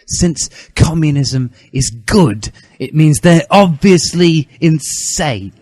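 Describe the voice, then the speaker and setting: Man acting a shrill, self-righteous teenage zealot. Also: lively intonation, a little mellow, no echo. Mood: preachy, insistent. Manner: indignant, emphatic, drawn-out words. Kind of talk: comic dramatic reading.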